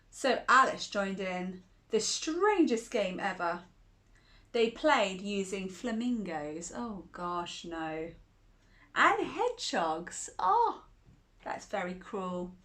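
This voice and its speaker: A woman's voice: mid-range voice, a bit bright. A woman reading a children's picture book aloud, chuckling often and asking the listener questions. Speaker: children's storyteller.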